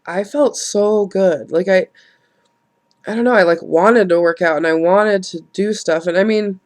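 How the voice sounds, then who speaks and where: A woman, congested and nasal from a cold, rambling frankly through a casual vlog, swearing and laughing at herself. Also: mid-range voice. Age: late 20s. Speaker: vlogger.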